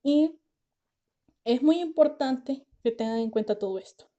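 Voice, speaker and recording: Tired lady podcaster, kinda high voice, soft mellow tone, weak r, dull muffled recording. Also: measured pace. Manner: earnest, reassuring, speaks directly to listeners; says she can't pronounce the R well. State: tired, finding it hard to talk. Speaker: podcast host, lawyer and author. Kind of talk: casual explanatory podcast talk.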